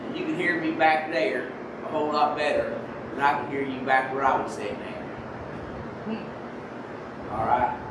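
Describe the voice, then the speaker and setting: A man in a church speaking loudly and bluntly without a microphone, teasing the preacher. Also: medium pitch, fairly even intonation, moderate pace, pauses often. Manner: loud, blunt and joking. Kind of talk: off-the-cuff remarks to a preacher. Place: church sanctuary. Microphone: no microphone, off-mic. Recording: distant voice in echoey church with noise.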